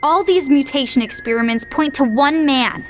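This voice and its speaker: A woman voicing a sassy, know-it-all preteen girl in an animated video game, with a dry, matter-of-fact manner. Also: high-pitched, normal speed, close to an everyday voice. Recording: music under the voice.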